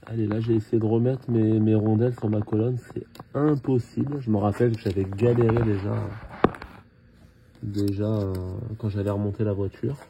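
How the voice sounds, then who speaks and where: A man talking casually and haltingly through hands-on work, thinking aloud as he goes. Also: fairly low pitch, fairly even intonation, background noise. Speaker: car mechanic hobbyist filming his own repair. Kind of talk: casual hands-on tutorial narration.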